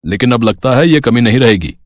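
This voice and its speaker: An actor playing a polite, businesslike factory owner who speaks calmly. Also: male voice, mid-range voice, brisk, dry recording, clean studio sound, natural voice. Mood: persuasive.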